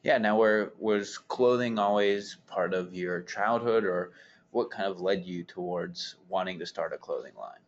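Very low-voiced male podcast host. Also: a little slow, muffled recording. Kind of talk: conversational podcast interview.

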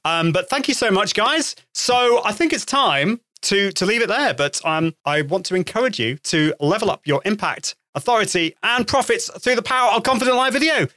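A chatty male host with a bright, forward tone and a voice that climbs high when he gets excited. His sound is dry and close, with little room around it. He talks in quick bursts, restarting phrases as he goes. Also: no echo. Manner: chatty, lively, often restarts and laughs. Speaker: podcast host. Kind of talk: casual live-stream interview.

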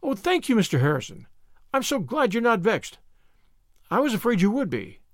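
Man putting on an earnest, high-pitched teenage girl. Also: sing-song, normal speed, no echo, studio quality. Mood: relieved. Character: earnest, dramatic, apologetic sixteen-year-old girl.

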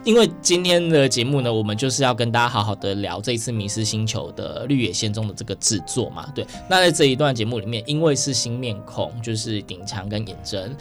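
A man's voice: mid-range voice, expressive, a little fast, hardly any pauses. A man with a Taiwanese Mandarin accent hosting a radio show, chatting casually and animatedly as he interviews guests. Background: music playing behind the talk.

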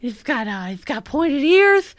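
A woman's voice: high-pitched, big pitch swings, unhurried, no room sound, clean studio sound, pitched above the performer's own voice. A woman voicing a frightened little boy with a lisp, listing things in a childish way. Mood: frightened, whiny. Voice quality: lisping. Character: frightened lisping little boy describing a monster.

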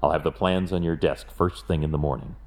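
A man playing a brooding, wealthy vigilante detective in an audio drama, grave and deliberate in his delivery. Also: very deep voice, fairly even intonation, gravelly, a little mellow. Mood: businesslike.

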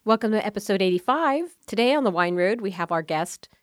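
Woman host, lively intonation, casual chat, close dry mic. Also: mid-range voice, fairly quick, no echo. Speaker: podcast host.

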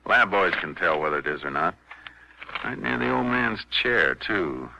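An actor playing a calm, shrewd Texas Ranger investigator in a 1950s radio western, clipped, deliberate and matter-of-fact. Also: male voice, deep voice, vocal fry. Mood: measured, probing. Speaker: lead actor in radio drama. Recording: noisy vintage 1950s radio recording.

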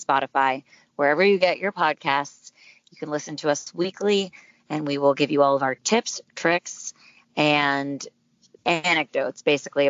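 Low-voiced woman, dry lo-fi sound. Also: a bit bright, no room sound. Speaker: podcast guest, dating expert. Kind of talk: casual interview chat.

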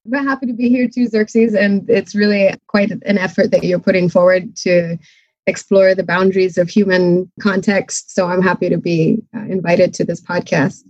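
Low, flat-voiced woman, dry lo-fi sound. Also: moderate pace, no room sound. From Malaysia, raised in Canada. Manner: articulate, slightly rambling with restarts. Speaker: guest, sustainability consultant. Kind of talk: conversational interview.

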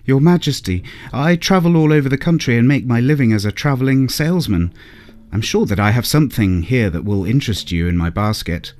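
A man's voice: medium pitch, animated, a little fast, darkish tone, voice pushed up, close to an everyday voice. A man voicing a little old male peddler who pleads and wheedles, stumbling over his words. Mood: wheedling.

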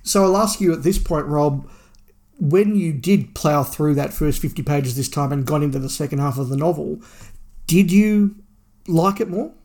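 A man's voice: a little high, normal speed, a little mellow. A man co-hosting a podcast, discussing a book casually in an analytical, opinionated way with emphatic repetition. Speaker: podcast co-host.

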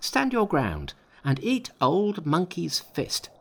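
A man doing a brash, high-pitched monkey king. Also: wildly swooping pitch, slow, gravelly, very noisy, full character voice. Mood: defiant. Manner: boastful, taunting. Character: cocky male monkey king, small and brash. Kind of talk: storybook reading.

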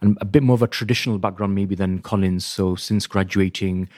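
A man's voice: deep voice, fairly even intonation, a little fast, darkish tone, no echo, studio quality. A man from the United Kingdom, a fund founder and guest, describing his background modestly in an interview. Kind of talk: casual interview talk.

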